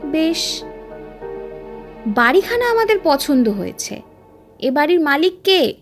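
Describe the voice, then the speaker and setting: A practical, impatient male visitor in a ghost story, played by a woman. The voice is high and lively, with direct, insistent delivery over faint music. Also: takes it slowly, exaggerated voice. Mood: businesslike. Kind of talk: horror audio story acting.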